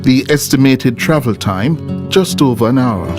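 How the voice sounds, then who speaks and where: Male documentary narrator, mid-pitched, strong plucked-guitar music bed. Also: animated, measured pace. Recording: prominent music bed behind voice.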